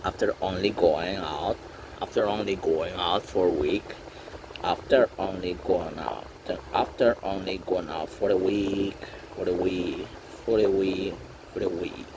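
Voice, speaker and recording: A man practicing English lines out loud while painting, repeating phrases and translating them to Spanish. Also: deep voice, steady tone, unhurried. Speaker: language learner drawing while practicing. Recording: noisy home recording.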